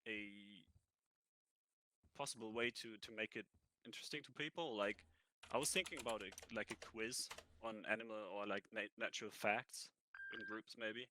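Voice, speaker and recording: A man with a cold answering interview questions in a roleplay, halting and thinking aloud as he goes. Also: on the low side, steady tone, pushed and bright, slight background noise. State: has a cold. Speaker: ranger job applicant.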